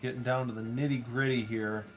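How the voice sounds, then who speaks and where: A man's voice: medium pitch, flat delivery, fairly quick, poor audio. A man in his thirties with a stuffy head cold, rambling playfully while he builds. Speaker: streamer building toys. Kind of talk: casual play-by-play while building. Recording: stream with background music. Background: background music.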